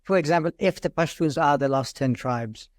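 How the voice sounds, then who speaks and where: A man's voice: a little high, moderate pace, no room sound, clean studio sound. A man interviewing a rabbi, asking long, hesitant questions. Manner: hesitant, many fillers and restarts.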